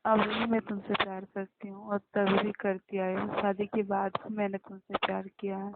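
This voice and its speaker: Flat-voiced young woman on noisy phone. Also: medium pitch, normal speed. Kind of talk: casual phone conversation. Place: phone call. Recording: very noisy phone line with clicks.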